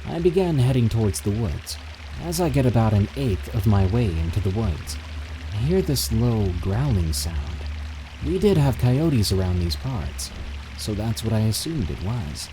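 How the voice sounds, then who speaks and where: A man reading submitted encounter stories aloud in steady, measured scripted narration. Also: fairly low pitch, music in the background, rough recording.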